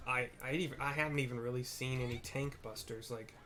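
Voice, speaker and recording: A man's voice: medium pitch, steady tone, brisk, pushed and bright. Laid-back man giving casual gameplay commentary. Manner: laid-back, descriptive, informal. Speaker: gamer narrating a playthrough. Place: indoors at a computer.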